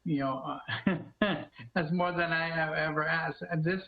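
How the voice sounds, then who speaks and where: Male stream host, higher register, halting and repetitive delivery, casual, on a phone-like connection. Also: steady tone. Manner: halting, repeats phrases. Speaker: net host of amateur television party. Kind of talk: casual live stream hosting. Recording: tinny phone-like audio.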